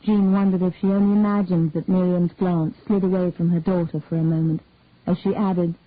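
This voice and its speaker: A woman calmly narrating a detective story in a measured reading voice. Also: lowish voice. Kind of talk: audiobook-style story reading. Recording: dry, tinny phone-like sound.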